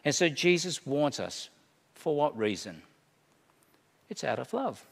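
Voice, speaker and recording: A middle-aged man preaching a sermon, emphatic, swinging between hushed and loud delivery. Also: a little high, big pitch swings, measured pace, gravelly. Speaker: pastor. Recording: long hall reverb.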